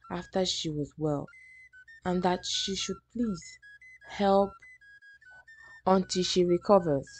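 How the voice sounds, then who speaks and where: Woman telling a folktale, scripted read, mid pitch, music playing behind her. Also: darkish tone. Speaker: storyteller. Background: background music.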